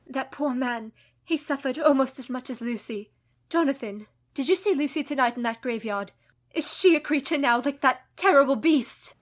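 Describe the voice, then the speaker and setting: An actress playing a brave, distraught young wife in a radio play, intense, earnest and emotive. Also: female voice, high-pitched, moderate pace. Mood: sober. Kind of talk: radio play dramatic acting.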